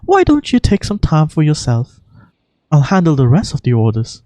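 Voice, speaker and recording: A woman voicing a calm, courteous and firm female village guardian in game dialogue, speaking in a composed, measured way. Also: deep voice, dry recording, pitched below the performer's own voice. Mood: gracious. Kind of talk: fan voice-over of video game dialogue.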